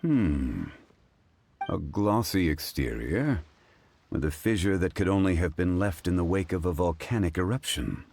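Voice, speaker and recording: A deep low calm scholarly game character, formal and polite, lots of lift in the lines. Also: male voice, heavily acted. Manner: measured, formal, courteous speech. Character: composed, learned man, calm and formal. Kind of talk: video game voice acting.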